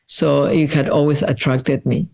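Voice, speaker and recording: Woman, middle aged, mellow warm voice, slows down to think things over. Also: low voice, flat delivery, gravelly. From Peru. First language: Spanish. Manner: thoughtful, earnest, often slows down to weigh her words. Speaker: artist being interviewed. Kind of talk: reflective interview answers.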